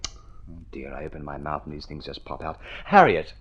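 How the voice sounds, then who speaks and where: An actor playing a flippant, good-natured young wastrel with a breezy, bantering manner, in old radio drama style. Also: male voice, a bass voice, normal speed, husky, heavily acted. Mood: teasing. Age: young adult. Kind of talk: vintage Australian radio drama acting.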